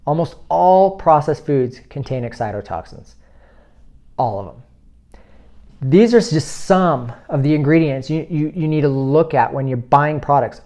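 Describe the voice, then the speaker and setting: A man's voice: fairly high pitch, expressive, mellow. Earnest man in his thirties urging viewers. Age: 30s. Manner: earnest and urging, lists items rapidly. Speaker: health video host. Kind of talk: informal health talk to camera.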